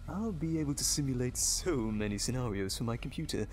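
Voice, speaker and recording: An actor playing a sadistic, gloating evil doctor in an audio drama, theatrical and menacing with a gravelly voice. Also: male voice, medium pitch, expressive, fairly quick, a little hiss or hum, close to an everyday voice. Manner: theatrical, gloating, swooping between croons and outbursts. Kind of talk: audio drama villain acting.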